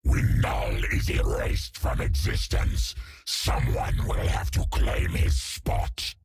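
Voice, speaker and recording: An actor playing a menacing alien symbiote that hungers for power and calls itself we, in a video game. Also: male voice, takes it slowly, no echo, close to an everyday voice. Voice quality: gravelly, growling. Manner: speaks of itself as we.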